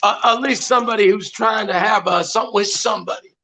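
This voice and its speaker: A man's voice: high voice, fast, creaky, sharp tone. A man in his seventies talking casually as a guest, blunt, folksy and emphatic, repeating himself for effect. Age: 70s. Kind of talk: casual chat. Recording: dull lo-fi sound with faint noise.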